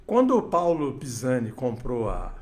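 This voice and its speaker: A man's voice: medium pitch, huge pitch swings, measured pace, a little mellow. An older man, an interview guest telling radio anecdotes with many restarts and quoted dialogue. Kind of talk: casual storytelling interview.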